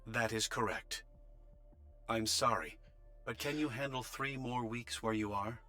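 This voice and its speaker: A man's voice: mid-range voice, a little slow, bright, forceful tone, faint music behind. A man playing a businesslike military operator in a game, delivering a formal official briefing. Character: businesslike male military operator giving orders. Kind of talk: anime game voice acting.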